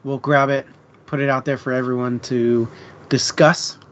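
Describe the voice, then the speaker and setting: Male stream co-host, medium pitch, casual and slangy delivery, slightly lo-fi sound. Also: flat delivery, normal speed, slight background noise. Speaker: co-host of a book discussion stream. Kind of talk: casual chat.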